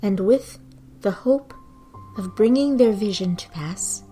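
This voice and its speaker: A woman narrating scripted mythology in a dramatic, deliberate storytelling manner with measured pauses. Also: mid-range voice, mellow, soft background music. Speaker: podcast host and narrator.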